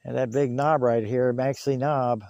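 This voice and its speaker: A man's voice: mid-range voice, fairly even intonation, unhurried, darkish tone, no room sound, lo-fi sound. A man hosting a gear review, talking casually and in folksy, colloquial phrasing to camera and over a two-way radio. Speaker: video host reviewing walkie-talkies. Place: outdoors on a hill.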